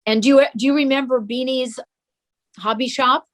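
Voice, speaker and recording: A woman's voice: high voice, steady tone, normal speed. Woman guest reminiscing in an interview. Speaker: guest recalling her father.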